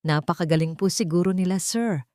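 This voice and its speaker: A woman's voice: on the low side, a little fast, darkish tone, dry recording, lightly acted. Casting: woman to play old male chauffeur in an audio drama, fretful, humble, respectful, close dry recording. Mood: deferential. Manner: polite, servile, fretful. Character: anxious, deferential elderly male family driver.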